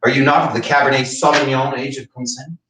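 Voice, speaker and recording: A man's voice: medium pitch, flat delivery, fast talker, natural voice. An actor playing a wistful, flirtatious, theatrical man who pines for love in a staged play reading. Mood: teasing. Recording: echoey, distant mic, faint noise.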